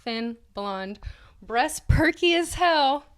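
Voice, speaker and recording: A woman's voice: high voice, lively intonation, slow pace, a bit bright. A woman co-hosting a podcast, giving a mocking dramatic reading of an article with casual asides, prone to laughing as she reads. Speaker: podcast co-host.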